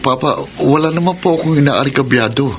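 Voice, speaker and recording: A man's voice: medium pitch, a little fast, lightly acted. An actor playing a guilt-ridden, evasive young man in a Filipino radio melodrama, speaking earnestly and hesitantly. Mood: defensive. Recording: noisy radio drama with ambience.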